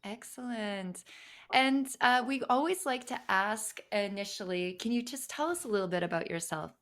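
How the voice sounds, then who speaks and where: A woman's voice: medium pitch, normal speed, a bit bright. Cheerful woman podcast co-host. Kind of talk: casual podcast chat.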